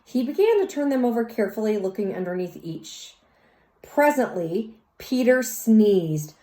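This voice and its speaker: A woman reads a children's story aloud in an expressive, teacherly way, speaking as if to young listeners. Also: fairly high pitch. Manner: teacherly, pauses to question and comment. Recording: roomy, echoey sound.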